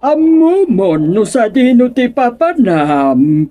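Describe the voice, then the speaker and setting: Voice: mid-range voice, very animated, slow pace, no echo, narrow, tinny audio. A performer playing a mocking, drawling guide to the afterlife in a comedic radio drama.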